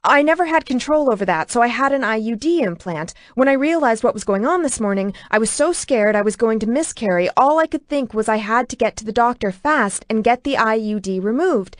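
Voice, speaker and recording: A woman voicing a frightened young woman held captive, talking in hurried, breathless bursts. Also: mid-range voice, little pitch movement, darkish tone, husky, no room sound, studio quality, lightly acted. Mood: frantic. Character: frightened kidnapped young woman, pregnant, defiant.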